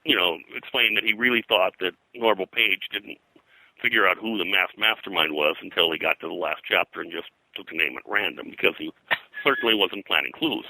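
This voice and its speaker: A man's voice: low-pitched, brisk, creaky, sharp tone, poor audio. An American man speaking as an interview guest, a pop culture historian telling detailed anecdotes in a rambling, digressive way.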